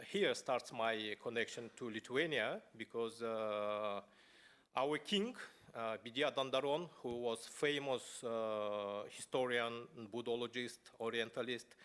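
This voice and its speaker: A man from Buryatia speaking English as an at a conference, telling his personal story in a halting way with many pauses and fillers. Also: medium pitch, fairly bright tone. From Buryatia, Russia. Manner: halting, many fillers and restarts, non-native phrasing. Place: parliament conference hall.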